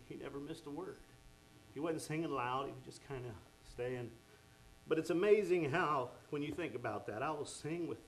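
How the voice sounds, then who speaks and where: A man's voice: medium pitch, a little hiss or hum, low-quality recording. A man preaching a sermon, fervent and exclaiming "oh my" and "amen" as he goes.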